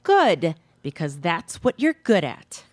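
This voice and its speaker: A woman acting an exasperated, sharp-tongued wife in a bickering radio comedy-drama, with pointed theatrical delivery. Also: on the low side, big pitch swings, slow pace, dry recording. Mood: fed up.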